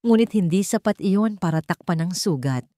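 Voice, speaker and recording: A female storyteller delivers scripted, dramatic narration. Her voice is slightly low, and the sound is dry with very little room in it. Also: lively intonation, moderate pace, dark tone, dry recording.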